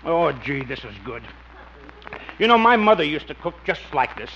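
Radio comedy burglar: friendly, wheedling, sentimental jailbird, fairly high and animated, folksy slang. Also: male voice, normal speed, vocal fry. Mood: wistful. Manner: folksy, plaintive, casual slangy talk. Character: affable, wheedling, sentimental small-time burglar in jail. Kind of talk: 1950s radio comedy acting. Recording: muffled, faintly noisy old radio recording.

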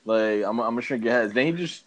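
A man's voice: mid-range voice, fast talker, highs cut off. A man co-hosting a podcast, chatting casually in a slangy, rambling, jokey way. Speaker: podcast co-host. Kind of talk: casual chat about movies.

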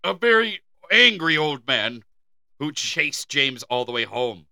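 A man's voice: on the high side, sing-song, unhurried, vocal fry, sharp tone, no room sound. An American man co-hosting a comedy podcast, reading folklore aloud and joking with casual swearing. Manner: reads folklore aloud, then riffs with swearing and laughs. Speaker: podcast co-host.

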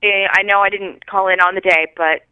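A woman calling in by telephone to chat casually, speaking in a hesitant, halting way. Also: mid-range voice, fairly even intonation, smooth, dry recording. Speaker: caller leaving a listener message. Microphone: phone call. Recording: tinny, narrow phone line.

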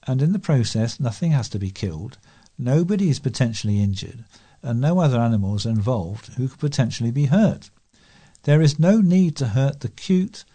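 Mellow man reading aloud. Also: medium pitch, husky. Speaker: volunteer newspaper reader. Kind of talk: reading letters and articles aloud.

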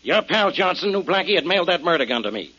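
An actor playing a gruff, hard-nosed police investigator in an old radio crime drama, blunt and combative. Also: male voice, sits high, big pitch swings, fast, sharp tone, exaggerated voice. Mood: accusing. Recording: muffled old-time radio recording.

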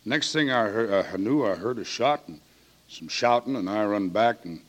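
An actor playing a defensive, evasive small-town American witness in a 1940s radio drama, talking in a guarded, colloquial way. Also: male voice, lowish voice, expressive, moderate pace.

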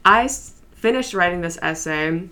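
A high school senior girl whose first language is Polish, chatting easily in an interview with many fillers and light laughs. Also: moderate pace. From New York City, USA. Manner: relaxed, chatty, frequent fillers and soft laughs. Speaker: interview guest, student. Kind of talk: casual interview.

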